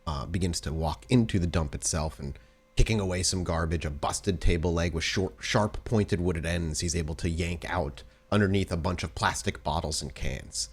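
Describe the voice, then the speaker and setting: Low-voiced man narrating as game master. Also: normal speed, slightly rough. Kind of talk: narrating a tabletop roleplay game.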